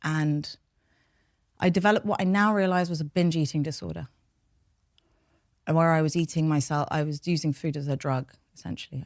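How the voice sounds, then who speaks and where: A woman's voice: sits low, dry recording, studio quality. A woman with a London accent whose first language was Farsi, speaking as an interview guest in a frank, reflective way. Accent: London English. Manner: reflective, frank, steady flow of long sentences. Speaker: podcast guest, behaviour change scientist. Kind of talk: candid interview storytelling.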